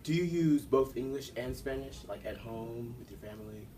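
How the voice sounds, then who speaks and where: A man's voice: medium pitch, moderate pace, dark tone. A young man hosting an interview, asking questions in a casual way with fillers. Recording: distant mic, faint background noise.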